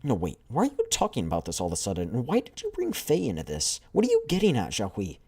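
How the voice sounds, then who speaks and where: A man with a deep voice voicing an eager, excitable young female squad member who pleads and protests in a raised voice. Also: fast, breathy edge, exaggerated voice. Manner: pleading, high-strung.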